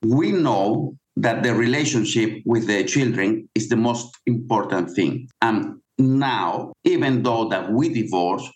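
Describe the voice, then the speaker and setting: A man's voice: on the low side, takes it slowly, hardly any pauses, rough recording. A middle-aged man who is not a native English speaker, answering interview questions as a guest author in an earnest, explanatory way.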